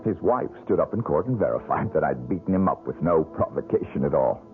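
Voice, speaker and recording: A bitter, down-and-out young painter, in a 1970s radio mystery. His deep voice swings widely in pitch. The sound is thin and tinny, like an old radio broadcast. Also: animated, fairly quick, faint music behind. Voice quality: bitter, curt. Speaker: struggling painter. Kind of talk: 1970s radio drama acting.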